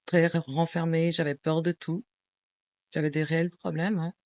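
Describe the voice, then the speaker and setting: A woman's voice: deep voice, flat delivery, moderate pace, a little mellow. A middle-aged woman from Portugal, chatting in an interview, hesitant, with many fillers and restarts. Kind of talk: casual interview chat.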